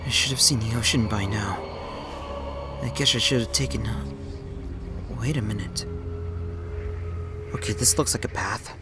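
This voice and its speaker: A man's voice: on the low side, normal speed, pauses often, over loud music, close to an everyday voice. A man playing a bewildered former police officer in an audio drama, speaking hesitantly and stammering. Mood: uneasy. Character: fugitive ex-police officer, bewildered man stranded in primeval world. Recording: noisy track with loud background ambience.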